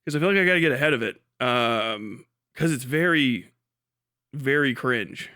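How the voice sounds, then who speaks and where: A man's voice: medium pitch, expressive, normal speed, pushed and bright, clean tone. A man hosting a casual livestream, talking in a sweary, dismissive, sardonic way. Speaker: livestream host.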